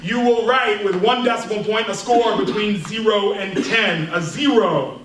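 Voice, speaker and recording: Very high-pitched, theatrical male slam host. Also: unhurried, a bit bright. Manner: theatrical, emphatic, sweeping exaggeration. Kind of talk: live event hosting. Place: live indoor event with audience. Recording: echoey venue, far mic, crowd noise.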